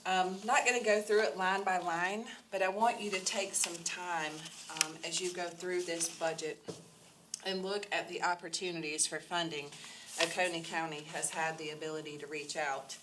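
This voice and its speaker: A woman walks a council through a county budget, measured and formal, pausing on ums. Her voice sits low and sounds stuffy from a head cold. The meeting-room recording carries a steady background noise. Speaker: county administrator presenting budget. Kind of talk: budget presentation at public workshop. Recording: noisy public meeting recording.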